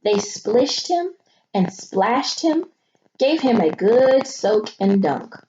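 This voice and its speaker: A woman schoolteacher reading a children's picture book aloud expressively, pausing to think aloud and put questions to young listeners. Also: a little high, expressive, mellow. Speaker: elementary school teacher. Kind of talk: animated children's picture-book read-aloud with teaching asides. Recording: muffled audio with clear background noise.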